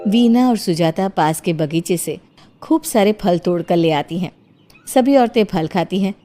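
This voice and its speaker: A woman's voice: fairly low pitch, lively intonation, a little slow, warm tone, faint music behind. A woman narrating a scripted moral cartoon story as the storyteller.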